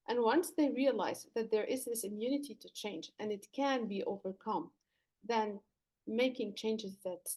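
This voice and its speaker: A woman's voice: mid-range voice, darkish tone. A woman from Lebanon, a leadership coach, earnestly explaining her work as a podcast guest. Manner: earnest, explanatory, some fillers and restarts. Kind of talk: podcast interview answers.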